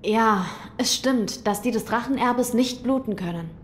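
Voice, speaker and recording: A woman as a high-voiced young lord. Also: measured pace, slight background noise, close to an everyday voice. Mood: pensive, resolved. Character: gentle, thoughtful young noble boy, master. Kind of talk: German audio drama acting.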